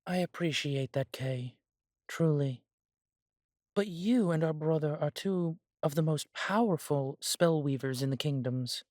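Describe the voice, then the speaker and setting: A man playing a humble, self-doubting immortal elf who runs a coffee shop in a fantasy audio drama, talking in a gentle, chatty way. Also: fairly high pitch, a little slow, no echo, clean studio sound, natural voice. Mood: downcast, self-doubting.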